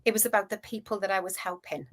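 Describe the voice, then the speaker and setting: A Welsh woman in her fifties, a coach telling her career story in a chatty, animated way as an interview guest. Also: mid-range voice, fast talker. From Wales. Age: 50s. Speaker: guest, food relationship coach. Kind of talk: casual interview chat.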